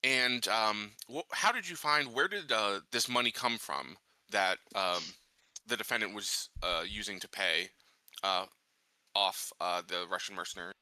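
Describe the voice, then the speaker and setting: A man playing a tabletop game, improvising casually and haltingly as he searches for words. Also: on the low side, bright, forceful tone, no echo.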